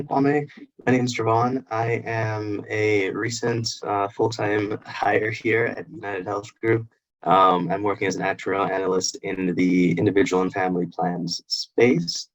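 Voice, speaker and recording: Young man, deep voice, muffled call audio. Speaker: actuarial analyst, panelist. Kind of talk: career fair panel talk.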